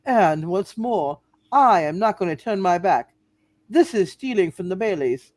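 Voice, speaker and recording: A woman's voice: medium pitch, lively intonation, unhurried, a little mellow, no echo, close to an everyday voice. A woman acting a principled, defiant state bank examiner with a folksy, indignant manner in a radio play. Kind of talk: hammed-up radio play reading.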